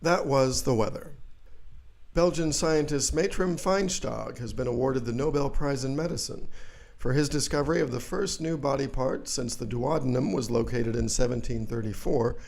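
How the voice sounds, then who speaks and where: Guy doing a grave deadpan newsreader, mid-pitched with some gravel, comedy radio news. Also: moderate pace, lightly acted. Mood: deadpan, official. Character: earnest, self-serious male TV-style news reporter and anchor. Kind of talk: parody news broadcast.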